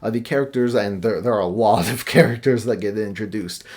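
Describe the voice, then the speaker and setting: A man's voice: fairly low pitch, fairly quick, a little mellow. A man giving a casual, conversational book review as a video host, offering opinions with playful asides.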